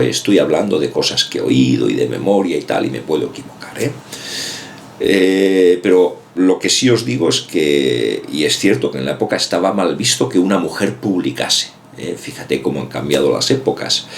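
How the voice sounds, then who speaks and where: A man's voice: very deep voice, creaky, slight background noise. A man giving a casual, rambling book commentary, hedging often and repeating himself. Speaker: book vlogger.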